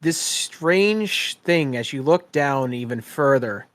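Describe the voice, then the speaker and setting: Slow, deliberate male game master. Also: medium pitch, animated, a bit bright, no echo. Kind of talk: slow descriptive narration of a tabletop game.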